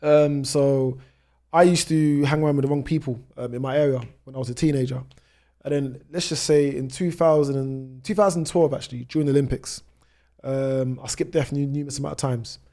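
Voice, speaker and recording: A man's voice: mid-range voice, steady tone, fairly quick, mellow. A British man in his thirties telling his own story conversationally as a podcast guest, with frequent "you know" asides. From United Kingdom. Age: 30s. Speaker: travel vlogger, podcast guest.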